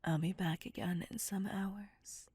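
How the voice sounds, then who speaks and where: A woman's voice: mid-range voice, fairly even intonation, a little slow, mellow, husky, no room sound. A woman voicing a possessive, dominant vampire seductress in an intimate ASMR roleplay, teasing and commanding as she drifts into whispers. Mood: smug, whispering. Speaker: voice actor.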